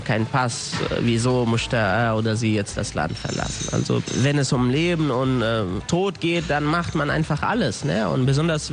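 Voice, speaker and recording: A man's voice: medium pitch, gravelly. Hesitant young man, Afghan accent. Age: 20s. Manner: hesitant, many pauses and fillers, earnest. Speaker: interviewee. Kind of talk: radio interview clip.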